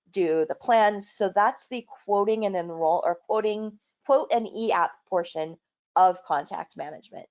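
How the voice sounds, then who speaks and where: A woman's voice: fairly low pitch, a little mellow. Businesslike woman trainer on a muffled call. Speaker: software trainer and presenter. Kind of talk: explanatory product walkthrough in an online training. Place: online video call or webinar. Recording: muffled, lo-fi online call recording.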